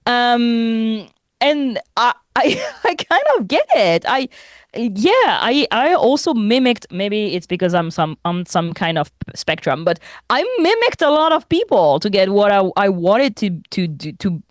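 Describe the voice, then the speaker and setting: A young adult woman whose first language is French, co-hosting a podcast in casual chat, animated, laughing often and restarting sentences. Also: mid-range voice, measured pace, no room sound, studio quality. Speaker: podcast co-host.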